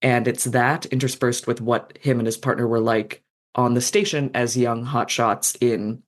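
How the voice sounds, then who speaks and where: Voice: medium pitch, fairly even intonation, normal speed. Chatty author describing a story idea. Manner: enthusiastic, rambling, with frequent ums and likes. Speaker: author guest. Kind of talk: casual podcast interview.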